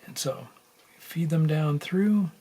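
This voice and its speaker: A man's voice: on the high side, slow, dark tone, slightly rough. A man giving a casual hands-on tutorial, thinking aloud informally as he works at a bench indoors. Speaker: tutorial host. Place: indoors at a workbench. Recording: noticeable room background noise.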